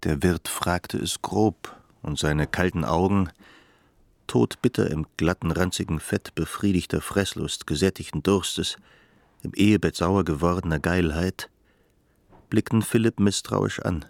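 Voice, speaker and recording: A sombre narrator with a low voice. He tells a literary tale of post-war Germany in the manner of a radio drama. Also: a little slow, gravelly, no echo. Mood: grave, measured.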